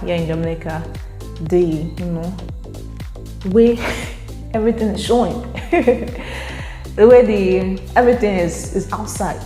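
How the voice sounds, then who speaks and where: A woman's voice: fairly low pitch, mellow. A young Nigerian woman vlogging casually. She speaks with a Nigerian accent, chatty, laughs often and repeats words while she thinks. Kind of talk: casual vlog to camera. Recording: echoey room, faint music.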